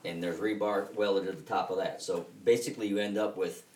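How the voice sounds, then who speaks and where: A low-pitched man walks through technical steps in an informal interview. He stops and restarts, leaning on the important points, and sounds set back from the mic in a room with strong echo. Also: steady tone, a little fast. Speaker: construction team leader being interviewed. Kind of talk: conversational technical explanation. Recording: echoey room, distant mic.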